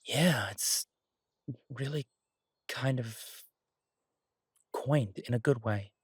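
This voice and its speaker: Husky, easygoing chef boyfriend, acted by a man. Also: mid-range voice, dry recording, clean studio sound, full character voice. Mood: casually enthusiastic. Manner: halting, casual, reassuring, trailing pauses. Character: easygoing, earnest chef boyfriend coaxing his partner. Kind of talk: intimate roleplay audio drama, casual one-sided conversation.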